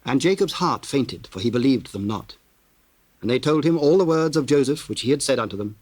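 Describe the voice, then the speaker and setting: A man narrating dramatized scripture in a measured, solemn and formal manner. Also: medium pitch, expressive, a little mellow, husky, no echo.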